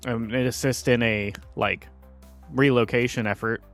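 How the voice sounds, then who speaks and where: A man's voice: medium pitch, steady tone, normal speed, fairly bright tone, faint music behind. A man playing a tabletop game, offering brief practical plans. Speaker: player.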